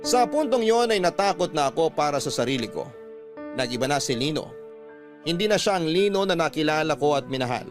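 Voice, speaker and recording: A man's voice: fairly high pitch, expressive, brisk. A man with a Filipino accent narrating a scripted first-person story as the host of a radio drama. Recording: dry studio voice over music. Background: soft music bed.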